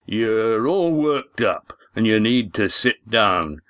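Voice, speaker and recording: A man doing a slow, drawling innkeeper. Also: on the low side, lively intonation, no echo, clean studio sound. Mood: wry. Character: short, wry rustic male innkeeper, middle-aged.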